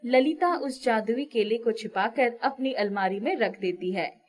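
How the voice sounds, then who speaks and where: A woman's voice: a little high, a little slow, a little mellow. A woman narrating a scripted moral story for children as the storyteller.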